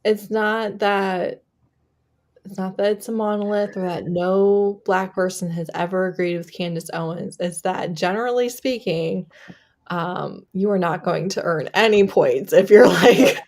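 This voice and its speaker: Female co-host, conversational and opinionated, medium pitch. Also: a little slow, a little mellow. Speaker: book vlogger and co-host. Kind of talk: casual chat.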